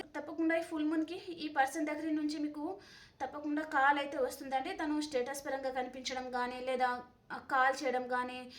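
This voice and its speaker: Very high-voiced woman reading tarot. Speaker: tarot reader hosting a channel. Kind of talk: tarot reading addressed to the viewer, conversational.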